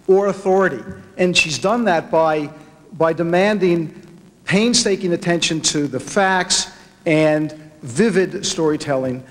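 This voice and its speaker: A man giving an acceptance speech, somewhat halting, with repeated words. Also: on the high side. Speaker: documentary producer accepting an award. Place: large hall at an awards ceremony. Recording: echoey large hall with long reverb.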